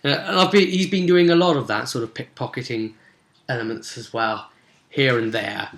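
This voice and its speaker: A man's voice: medium pitch, moderate pace, fairly bright tone. A man chattily recapping a tabletop role-playing session as its game master, telling it like an informal story. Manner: chatty, storytelling, acting out brief bits of dialogue. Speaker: game master and vidcast host. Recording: roomy, echoing sound.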